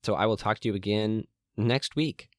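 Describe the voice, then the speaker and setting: Young guy podcasting alone in his room, really low voice, kinda hoarse, lots of ums, dry close sound. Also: moderate pace, no room sound. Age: young adult. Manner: rambling, frequent ums and restarts. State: voice cracking, slightly hoarse. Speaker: podcast host. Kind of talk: solo podcast monologue.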